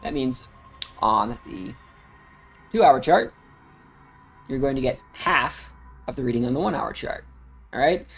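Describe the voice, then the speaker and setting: A man's voice: medium pitch, expressive, a little slow, long pauses, husky. A man casually talking through crypto charts on a livestream, rambling with frequent fillers. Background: music playing.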